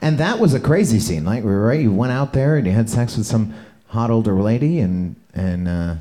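A man's voice: on the low side, lively intonation, a little fast, warm tone. A man co-hosting a podcast in casual chat, joking, teasing and swearing freely. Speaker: podcast co-host.

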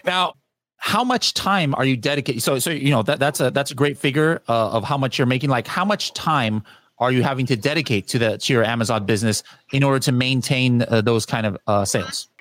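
A man's voice: medium pitch, brisk, a bit bright, dry recording. A man hosting a podcast in casual interview chat, rambling with many fillers and restarts. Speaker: podcast host.

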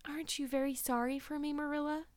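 An earnest, plucky young storybook girl, acted out by a woman reader. Her voice is raised high and clear, with solemn, theatrical delivery of very wordy speech. The recording is dry and close. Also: a little fast, dry recording. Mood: plaintive. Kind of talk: storybook character voice.

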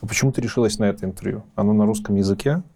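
A man's voice: lowish voice, rapid-fire, creaky, warm tone. A man interviewing a guest in a casual conversation, putting probing and challenging questions to her.

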